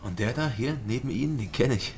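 An actor playing a curious, persistent investigator in a radio crime drama, questioning and narrating in a restrained, matter-of-fact way. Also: male voice, medium pitch, lively intonation, fairly quick, a little hiss or hum, natural voice.

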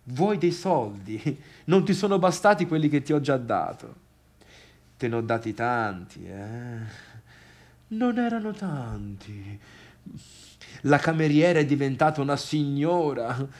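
A man's voice: mid-range voice, very animated, measured pace, a little mellow. An actor playing an awkward, needy, wounded man in a theatrical monologue, with a Tuscan accent and hesitant, repetitive phrasing. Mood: bitter, pleading. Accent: Tuscan Italian. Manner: hesitant, stammering repetitions and questions. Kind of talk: theatrical monologue, halting one-sided dialogue.

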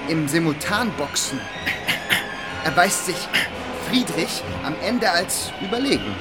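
A grandiose history narrator in a radio play, relishing every phrase. The voice is slightly high and very animated, with loud music underneath. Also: male voice, unhurried. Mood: rousing. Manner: dramatic, grandiose.

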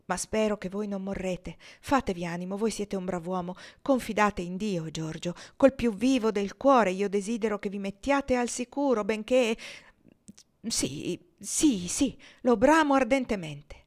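A woman's voice: medium pitch, a little slow, pitched above the performer's own voice, close to an everyday voice. A woman voicing a kindly, tearful old man who soothes and reassures in a read-aloud story. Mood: tender. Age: older.

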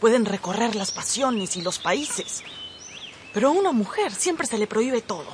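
A woman's voice: fairly high pitch, lively intonation, normal speed, background noise, natural voice. An actress playing a restless, passionate young married woman in a radio drama, with an emotional, dramatic delivery. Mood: bitter, wistful.

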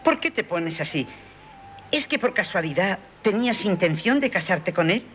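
A man in a 1970s radio drama playing a calm, gently teasing family member who reasons open-mindedly. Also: high-pitched, normal speed, faint music behind. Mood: teasing, reasoning. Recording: dull, band-limited 1978 radio recording.

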